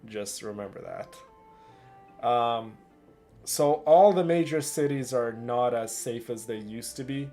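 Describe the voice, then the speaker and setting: A man's voice: mid-range voice, slow pace, dark tone, soft background music. Emphatic Canadian man chatting on stream. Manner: emphatic, repeats himself for stress. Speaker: live streamer. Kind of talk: casual livestream chat.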